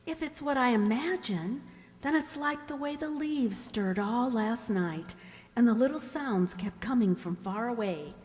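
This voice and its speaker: A woman as a dreamy girl, high swooping voice. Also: animated, a little slow, a little mellow. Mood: dreamy, wondering. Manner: wistful, musing. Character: dreamy, earnest seventeen-year-old country girl. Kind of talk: radio drama acting. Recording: hall-like long reverb.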